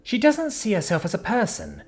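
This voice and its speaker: Sapphire, a man doing a high teasing young woman. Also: huge pitch swings, normal speed, faint music behind. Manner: chatty, mocking, blunt.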